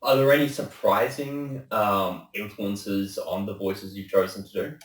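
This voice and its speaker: A man with a lowish voice hosts a casual interview, easygoing and a little self-deprecating. He sounds set back from the microphone, with plenty of room echo around him. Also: fairly even intonation, a little slow, a bit bright. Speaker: interviewer, host. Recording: roomy echo, distant microphone.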